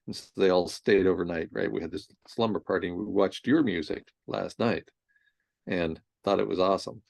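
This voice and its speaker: A man in his sixties hosting a casual conversation, telling stories in an animated way and laughing often. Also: deep voice, normal speed, creaky, dry recording. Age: 60s. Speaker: host.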